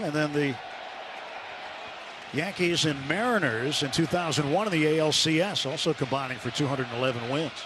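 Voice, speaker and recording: A man calling a baseball game play-by-play on live radio. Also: on the high side. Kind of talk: live radio sports commentary. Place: stadium broadcast booth. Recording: noisy outdoor ballpark broadcast sound. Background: loud ballpark ambience.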